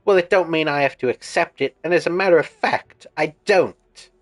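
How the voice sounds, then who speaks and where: A man voicing a brash, scornful rival songstress from a game, taunting loudly and drawing out his words. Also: on the high side, no room sound, clean studio sound, voice pushed up, lightly acted. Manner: loud, jeering, drawn-out taunts.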